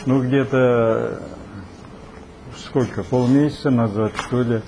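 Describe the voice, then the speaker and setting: A man's voice: mid-range voice, fairly even intonation, takes it slowly, creaky, warm tone. Rambling man in his seventies, noisy recording. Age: 70s. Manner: rambling, folksy, many fillers. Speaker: participant. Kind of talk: casual storytelling.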